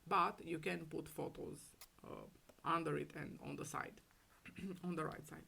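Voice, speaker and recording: A woman with a cold and a raspy, hoarse voice, showing off a handmade photo album in casual, halting talk full of ums. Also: low voice, steady tone, sharp tone, a little hiss or hum. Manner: hesitant, many ums, fond and gushing. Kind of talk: casual craft show-and-tell.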